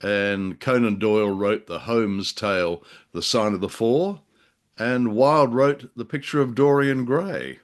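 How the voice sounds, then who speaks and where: A man co-hosting a conversational discussion, speaking in halting, wordy phrases. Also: fairly low pitch. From Australia. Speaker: podcast co-host. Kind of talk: conversational discussion of classic radio drama.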